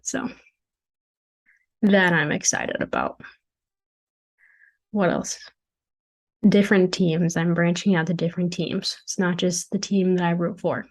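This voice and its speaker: Hoarse young woman chatting casually. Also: on the low side, fairly even intonation, a little slow, pauses often. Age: young adult. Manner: chatty, self-deprecating, joking asides. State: hoarse, losing her voice. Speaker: podcast host and founder. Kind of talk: casual solo podcast chat. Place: dorm room. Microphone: desk mic at a computer.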